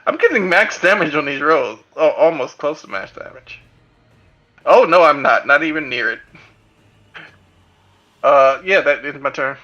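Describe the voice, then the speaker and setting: A man with a bright, forward voice talks his way through his turn in a tabletop game. His voice sits a little high, and he hesitates and stumbles over his words. Faint music plays behind him. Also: expressive, measured pace, long pauses, highs cut off. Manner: chatty, hesitant with many fillers. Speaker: tabletop player. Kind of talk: casual tabletop game chat.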